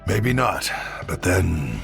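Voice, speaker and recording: An actor playing a stubborn, world-weary old soldier in a video game, gruff and terse with a gravelly voice. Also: male voice, low-pitched, big pitch swings, slow pace, fairly bright tone, soft background music, exaggerated voice. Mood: wry, stubborn. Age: older.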